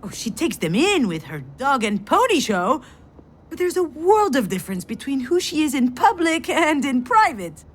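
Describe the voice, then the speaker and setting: An actress playing a bitter, overwhelmed daughter in a visual novel, with a sardonic, dramatic delivery. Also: female voice, sits high, sing-song, normal speed. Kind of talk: visual novel voice acting with inner monologue.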